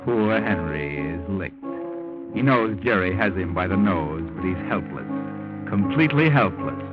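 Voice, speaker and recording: A deep, ominous storyteller for a vintage radio crime drama. He narrates knowingly over loud music. The recording is dull and muffled. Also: animated, normal speed.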